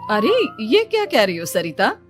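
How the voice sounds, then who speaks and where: A woman's voice: on the high side, wildly swooping pitch, measured pace, soft background music. A woman voicing a friendly, chatty neighbor woman.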